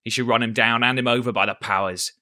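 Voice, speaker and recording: Brief: a man doing a cunning old one-legged pirate, loud and bright, salty sailor talk. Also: mid-range voice, fairly even intonation, fast talker, no echo, clean studio sound. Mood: excited.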